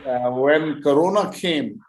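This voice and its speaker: Casting a male physician guest on a video call: unhurried, halting speech full of fillers, mid pitch, light background noise. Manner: hesitant, many fillers and restarts. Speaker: guest speaker, physician and YouTuber. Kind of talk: conversational interview answers.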